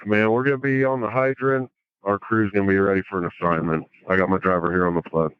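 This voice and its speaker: A tinny, phone-like two-way radio carries a man's brief fire service reports. He talks quickly in a fairly low, clean voice. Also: fairly even intonation, clear, resonant tone, no room sound. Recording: tinny, narrow fireground radio link.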